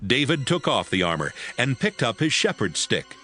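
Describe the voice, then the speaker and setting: Expressive male narrator over music. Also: medium pitch, moderate pace. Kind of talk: scripted audiobook narration.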